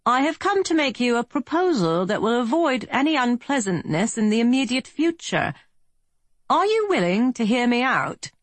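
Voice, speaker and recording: A woman's voice: a little high, sing-song, no room sound, pitched above the performer's own voice. A woman voicing a polished, well-to-do British man with a pleasant tenor, speaking formally and evenly. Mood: courteous. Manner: measured, formal, slightly rushed. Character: polished upper-class British man, even-toned negotiator.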